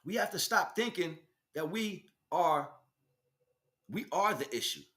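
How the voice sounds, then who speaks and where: A man's voice: fairly high pitch, lively intonation, unhurried, fairly bright tone. An American man delivering an informal spiritual monologue as a teacher, speaking emphatically in streetwise slang and addressing listeners directly.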